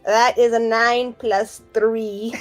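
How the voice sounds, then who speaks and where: A woman's voice: fairly high pitch, flat delivery, takes it slowly, faint music behind. A woman playing a tabletop roleplaying game, chatting casually in and out of character.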